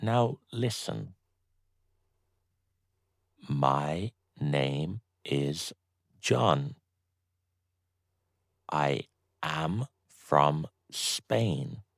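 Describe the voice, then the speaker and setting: A man reading a slow, scripted beginner English lesson, saying each short sentence twice with deliberate pauses. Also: a bass voice, expressive, creaky, no room sound, clean studio sound. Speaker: English teacher.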